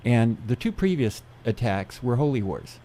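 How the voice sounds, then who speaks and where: Mellow man explaining steadily. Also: mid-range voice, sing-song, moderate pace, a little hiss or hum. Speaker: seminar speaker. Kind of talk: radio interview guest explaining prophecy.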